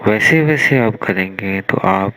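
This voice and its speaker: Meditation guide, male, Indian accent. Low register, very slow delivery with long pauses, over music. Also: expressive, pushed and bright, low-quality recording. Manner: measured, instructional, with long pauses between short phrases.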